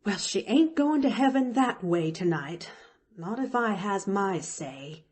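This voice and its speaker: A woman's voice: fairly high pitch, animated, a little slow, warm tone, breathy edge, pitched above the performer's own voice. A woman voicing a kindly, dogged country housemaid in a storybook, folksy and ungrammatical, full of flustered exclamations.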